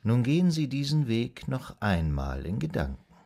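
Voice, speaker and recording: An actor playing a calm, soothing psychoanalyst in a radio drama, guiding a patient in a measured, hypnotic, gently instructing way. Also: male voice, medium pitch. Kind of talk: German radio drama acting.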